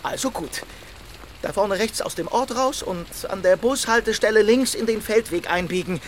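In clear rain noise, a superstitious village man acted out by a man speaks in a high, anxious voice. He sounds urgent and full of foreboding, in the style of a children's radio play. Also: moderate pace, lightly acted. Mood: dread. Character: frightened, superstitious male villager walking his dog.

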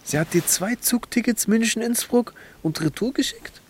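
An actor playing a gruff, streetwise young male buddy, offhand and slangy, in a radio drama. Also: extremely high pitch, normal speed, slight background noise. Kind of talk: naturalistic radio drama acting.

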